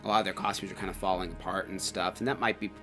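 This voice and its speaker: A man's voice: low voice, fairly even intonation, rapid-fire. A man co-hosting a casual, conversational review, rambling through stories with quoted asides. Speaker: co-host of a haunted attraction review. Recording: music under the talk.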